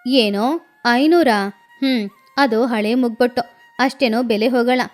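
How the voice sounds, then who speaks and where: A woman's voice: fairly high pitch, unhurried, close to an everyday voice. A woman voicing a greedy, hard-hearted female crow moneylender in a children's cartoon story, with a dismissive, bossy way of talking. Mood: grudging, bossy.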